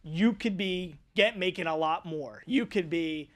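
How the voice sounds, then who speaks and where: A man hosting a sports talk show in a studio, emphatic and fired up, with his voice going hoarse. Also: sits high, measured pace, a bit bright, no echo. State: losing his voice.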